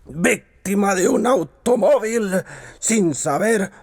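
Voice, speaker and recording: An actor playing a boastful, bitter, legless blind beggar in a radio drama, declaiming in a gravelly voice. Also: male voice, high-pitched, expressive, no room sound, studio quality, exaggerated voice. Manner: blustering, drawn-out declamation.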